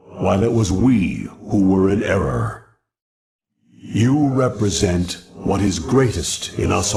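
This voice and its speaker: Slow, gravelly, stately alien elder. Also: male voice, lowish voice, a little mellow, heavily acted. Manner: formal, deliberate, pompous. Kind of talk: ceremonial video game dialogue.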